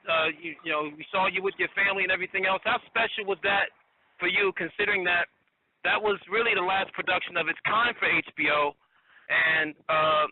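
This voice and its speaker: A man working as a press interviewer, asking questions in a halting, repetitive way on a conference call. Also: sits high, dry recording. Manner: halting, repeats words while forming questions. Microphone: telephone conference call. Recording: muffled tinny phone line.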